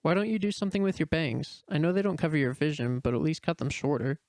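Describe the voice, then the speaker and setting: A man voicing a frank teenage high school girl, the sister in a story reading. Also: quick pace, a little mellow, dry recording, studio quality, lightly acted. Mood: teasing.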